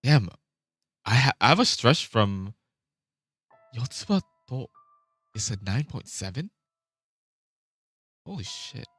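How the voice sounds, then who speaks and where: A man's voice: mid-range voice, big pitch swings, takes it slowly, long pauses, fairly bright tone, slightly rough, dry recording, studio quality, soft background music. A man chatting casually on a vlog, rambling and restarting his sentences, swearing now and then. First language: Vietnamese. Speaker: vlogger.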